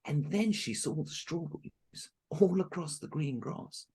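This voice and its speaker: Brief: male storyteller, lively sing-song delivery, gravelly and a bit husky, chatty asides. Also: on the high side, measured pace. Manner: animated, chatty asides to listeners. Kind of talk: folk tale storytelling.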